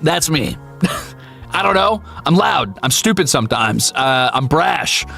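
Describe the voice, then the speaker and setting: Animated man with bright, gravelly voice. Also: fairly high pitch, slow, faint music behind. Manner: candid, playful, profane, self-deprecating. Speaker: VTuber streamer and voice actor. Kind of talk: casual livestream chat answering viewers.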